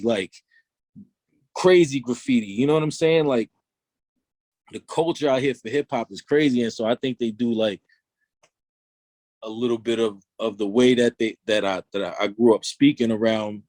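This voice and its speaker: A man's voice: medium pitch, darkish tone, no room sound. A young man from Long Island, talking loosely in an interview and often saying "you know what I'm saying". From Long Island, New York. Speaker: rapper guest. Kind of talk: casual interview talk.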